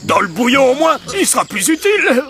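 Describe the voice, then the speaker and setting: A man playing a gruff, callous farmer villain in a cartoon dub. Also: very high-pitched, sing-song, fairly quick, pushed and bright, faint music behind, exaggerated voice.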